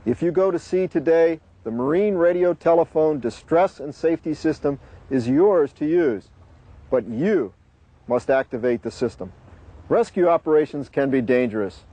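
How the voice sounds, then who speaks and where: A man giving deliberate, instructive scripted narration for a safety training video. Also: fairly high pitch, expressive, warm tone, no room sound.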